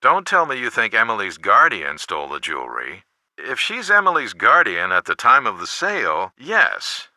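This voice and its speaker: An actor playing a caring, cautious middle-aged father in a video game, with a measured, advisory manner. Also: fairly low pitch, huge pitch swings, pushed and bright, no room sound, exaggerated voice. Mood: cautioning, surprised. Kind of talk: scripted game voice acting.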